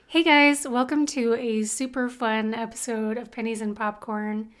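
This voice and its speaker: A woman's voice: on the high side, little pitch movement, a little slow, background noise. A woman in her thirties co-hosting a casual podcast, playful and chatty. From United States. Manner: playful, laughs, breaks into song. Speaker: podcast co-host. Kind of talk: casual chat.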